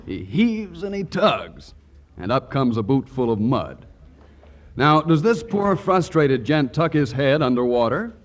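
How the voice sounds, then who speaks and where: A man's voice: medium pitch, sing-song, a little slow, slight background noise. A man announcing on 1940s radio as a folksy, emphatic salesman. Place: radio studio. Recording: vintage 1940s radio broadcast.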